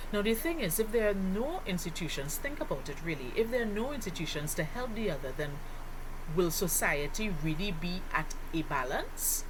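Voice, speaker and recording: A woman explains a lesson in a deliberate, teacherly way, stressing key words and posing rhetorical questions. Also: mid-range voice. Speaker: lecturer. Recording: noticeable background noise.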